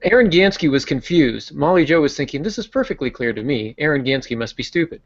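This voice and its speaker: A man co-hosting a writing podcast, talking in a relaxed, chatty way with frequent restarts. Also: a little high, fast. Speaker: podcast co-host. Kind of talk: casual writing craft chat. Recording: dull lo-fi podcast audio.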